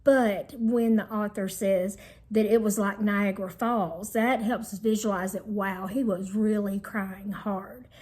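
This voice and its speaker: A woman's voice: mid-range voice, fairly even intonation, a little slow, a little mellow. A woman teacher reading a children's storybook aloud in an explanatory manner meant for young listeners.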